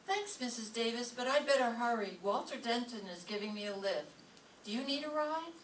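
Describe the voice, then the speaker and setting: A woman playing a sharp-tongued English teacher with dry, sarcastic delivery in an old-time radio comedy, recorded from a distance in a roomy space. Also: a little high, animated, normal speed, natural voice. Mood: wry. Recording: distant roomy stage pickup, faint noise.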